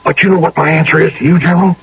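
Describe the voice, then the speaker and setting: An actor playing a frightened, plain-spoken old army sergeant in a radio drama, stammering in folksy, ungrammatical speech. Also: male voice, a little high, fairly even intonation, racing, creaky, husky, exaggerated voice. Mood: shaken, earnest. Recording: dull, noisy old radio recording.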